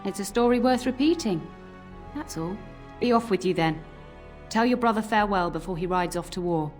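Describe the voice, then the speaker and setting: An actress playing an old nanny in a game, scolding but fond, given to telling cautionary tales. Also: female voice, medium pitch, animated, moderate pace, a little mellow, natural voice. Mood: gently admonishing. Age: older. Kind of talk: scripted game voice acting, storytelling. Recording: music under the voice.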